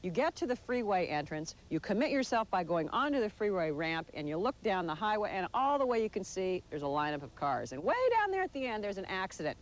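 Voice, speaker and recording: A woman's voice: medium pitch, sing-song, a little fast, few pauses, low-quality recording. An American woman narrating a scripted television magazine feature as its reporter, playful and tongue-in-cheek with dramatic touches. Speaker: TV magazine show host and reporter. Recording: 1980s TV broadcast, music underneath.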